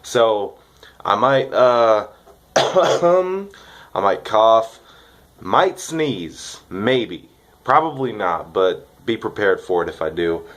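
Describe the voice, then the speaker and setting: A man's voice: on the low side, animated, very slow, a bit bright. A young man, congested from a cold, chatting casually and cheerfully in a rambling vlog with lots of fillers. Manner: rambling, upbeat, filler-heavy. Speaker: vlogger reviewing dip tobacco.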